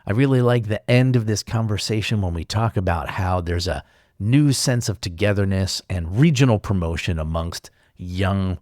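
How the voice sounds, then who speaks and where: A man's voice: low-pitched, normal speed, a little mellow, no room sound, clean studio sound. A man hosting a podcast interview, friendly and joking. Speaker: podcast host.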